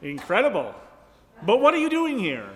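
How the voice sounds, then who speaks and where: Guy as young hero adventurer, high voice, earnest and dramatic, live radio play in an echoey hall. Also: wildly swooping pitch, moderate pace. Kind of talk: live old-time radio melodrama. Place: live stage in a ballroom.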